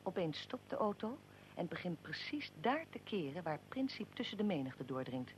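An actor as a solemn chronicler in a historical radio play. The delivery is measured and declarative, with a probing edge, over a faint rumble and hiss. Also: big pitch swings, close to an everyday voice. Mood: tense narration. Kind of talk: scripted radio drama narration.

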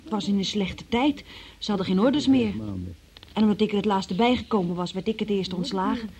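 A woman's voice: medium pitch, brisk, darkish tone, lightly acted. An actress playing a naive, anxious young working-class woman accused of theft, plaintive and artless, in a Dutch radio drama. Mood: plain, explaining.

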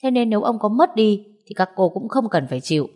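A woman voicing a calm, steady young husband in an audio story, speaking evenly and firmly. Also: mid-range voice, fast talker, voice pushed up, natural voice. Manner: even, calm, firm.

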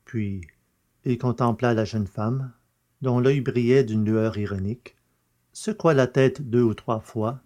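A man's voice: mid-range voice, a little slow, dark tone. A man reading scripted literary narration for a French science fiction audio drama.

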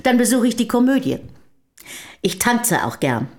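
An actress in a German historical radio drama playing a composed, dutiful common-born companion of a poet, measured and plain-spoken. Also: female voice, fairly high pitch, very animated, darkish tone. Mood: composed, polite.

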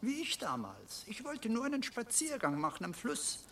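A meek, anxious man in a radio play, with a creaky edge to his voice. He speaks hesitantly and deferentially, a little away from the microphone. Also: very high-pitched, huge pitch swings, moderate pace, pushed and bright, distant mic, a little hiss or hum, heavily acted. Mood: anxious, haunted. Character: meek, anxious stepbrother of two elderly teacher sisters. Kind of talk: German radio drama acting.

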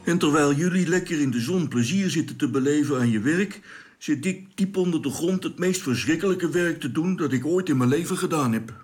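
An actor playing a grumbling, put-upon radio operator on a space crew in a radio drama, plaintive and sardonic. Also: male voice, a little high, a little fast, natural voice. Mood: sour complaining. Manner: plaintive, hesitant, sardonic complaining.

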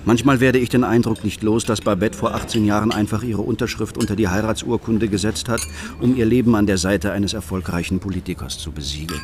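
A man's voice: on the low side, fairly even intonation, brisk, darkish tone, natural voice. An actor playing a bitter, scornful middle-aged man who narrates his thoughts in a radio play. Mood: disdain. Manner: sardonic, contemptuous.

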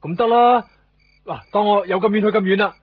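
Anxious, exasperated young man, highish voice. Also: fairly quick. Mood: brooding, secretive. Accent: Hong Kong Cantonese. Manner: exasperated, emphatic, repeats himself. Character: anxious, evasive young man hiding from a clinging ex. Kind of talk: 1990s Cantonese radio drama acting. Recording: muffled 1990s radio drama over music.

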